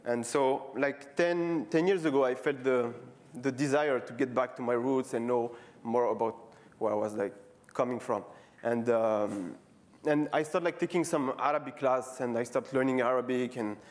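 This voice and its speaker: Casting note: man, 30s, French accent, relaxed stage talk full of fillers and restarts. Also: mid-range voice. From France. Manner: halting, many fillers and restarts; casual, friendly, repeating words. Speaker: street artist giving a talk. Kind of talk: conference talk. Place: stage before a live audience.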